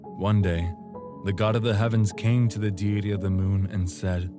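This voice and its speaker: A grave storyteller, played by a man, recounts an ancient legend in a fairly low voice. His narration is solemn and measured, with music clearly underneath, in the manner of a fantasy audio drama. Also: fairly even intonation, lightly acted. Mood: solemn storytelling.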